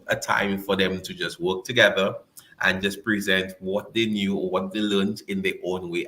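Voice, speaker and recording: A young man from Saint Lucia, a guest in a casual interview, speaking softly with pauses and fillers. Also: low voice, rough recording.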